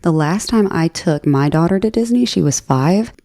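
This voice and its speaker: Low mellow-voiced woman chatting. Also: moderate pace. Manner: animated storyteller, laughs often. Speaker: podcast co-host. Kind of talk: casual chat.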